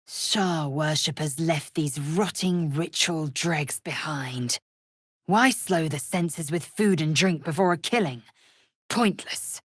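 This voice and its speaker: A woman's voice: deep voice, slow, slightly rough, no echo, clean studio sound, full character voice. An actress playing a fierce, proud alien warrior woman in a video game, speaking in a clipped, imperious, scornful way. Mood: scornful, commanding. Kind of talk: video game character voice lines.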